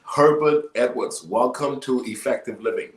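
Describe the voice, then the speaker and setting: A man's voice: mid-range voice, moderate pace. A man hosting a talk show in a conversational, emphatic way, often repeating words, with an echoing sound. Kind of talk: conversational interviewing.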